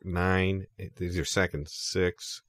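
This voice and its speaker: A man playing a politely puzzled interviewer in a deadpan improvised comedy, speaking haltingly. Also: sits low, noisy background. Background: guitar music.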